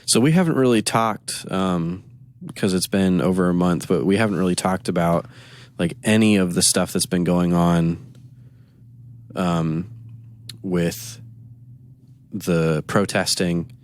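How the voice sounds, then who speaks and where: A man's voice: sits low, unhurried, pauses often, clear, resonant tone. A man co-hosting a podcast, chatting casually and telling rambling stories with quoted back-and-forth. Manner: rambling, animated storytelling with quoted exchanges. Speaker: podcast co-host. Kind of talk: casual chat.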